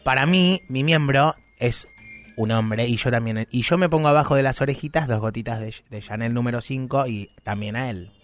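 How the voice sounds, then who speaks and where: A man's voice: mid-range voice, moderate pace, soft background music. Teasing Rioplatense man. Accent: Rioplatense Spanish. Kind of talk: comic banter.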